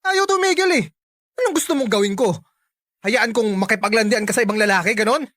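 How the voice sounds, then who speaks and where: A woman's voice: fairly high pitch, expressive, fast, a bit bright, no room sound, studio quality. A woman playing a jealous, possessive young Filipino lover in a radio drama, insistent and interrogating. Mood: jealous, angry.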